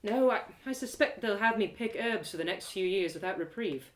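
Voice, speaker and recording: A woman voicing an awkward, earnest elf woman in a storybook reading, with a hesitant, halting manner. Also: mid-range voice, animated, natural voice. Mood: defensive.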